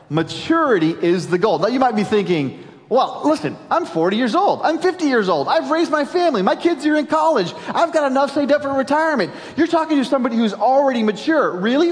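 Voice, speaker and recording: Animated high-voiced pastor in a reverberant hall. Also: male voice. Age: 40s. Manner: animated, jokey storytelling with sudden drops to quiet emphasis. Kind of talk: sermon. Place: large church auditorium.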